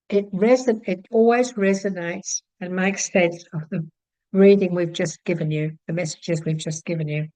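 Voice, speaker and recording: A woman's voice: lowish voice, darkish tone, dry recording, poor audio. An older Australian woman talking to camera from her home, chatty and friendly with many fillers and restarts. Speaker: psychic channel host. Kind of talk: casual talk to camera. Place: home room with shelves of card decks.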